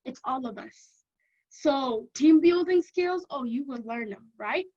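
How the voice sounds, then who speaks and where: A woman with a Kenyan accent giving an energetic informational talk to prospective students, often checking in with "okay?". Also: high-pitched, measured pace, lo-fi sound. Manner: energetic, keeps checking in with "okay?", repeats for emphasis. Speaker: university lecturer.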